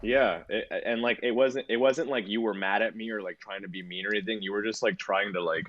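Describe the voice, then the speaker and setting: A man's voice: lowish voice, steady tone, a little fast, fairly bright tone, dull audio. A man in his twenties co-hosting a podcast, telling a long story in casual chat with lots of swearing and filler words. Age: 20s. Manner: rambling, swears a lot, many fillers. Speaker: podcast co-host.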